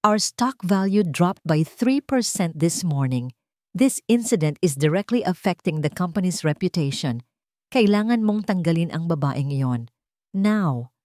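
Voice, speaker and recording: A woman's voice: lowish voice, lively intonation, unhurried, darkish tone, dry recording, studio quality. A woman voicing a stern, threatening elderly male board member in a dramatic story, grave and demanding, mixing English and Tagalog.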